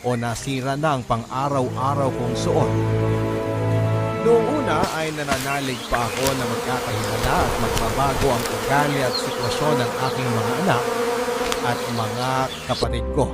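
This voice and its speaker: A man reading a first-person scripted story as the narrator of a radio drama. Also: mid-range voice. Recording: loud music under the voice. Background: loud background music.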